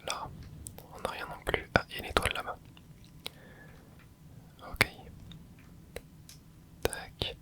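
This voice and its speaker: Whispering young man, hushed game commentary. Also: slow, pauses often. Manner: soft close whisper, relaxed running reactions. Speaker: ASMR gaming channel host. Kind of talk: whispered ASMR gameplay commentary.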